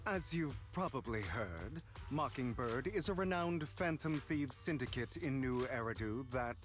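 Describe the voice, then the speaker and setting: A man playing a suave, theatrical and courteous phantom thief in a video game, speaking in smooth, elaborately polite lines over music. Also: medium pitch, lively intonation, measured pace, natural voice. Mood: smooth, persuasive. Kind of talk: scripted video game voice acting. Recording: music under the voice.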